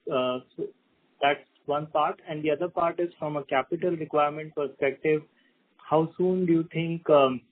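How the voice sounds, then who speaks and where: A man asking questions as an analyst on an earnings call, polite and halting, over a phone line. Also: mid-range voice, no echo. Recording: narrow dry phone line.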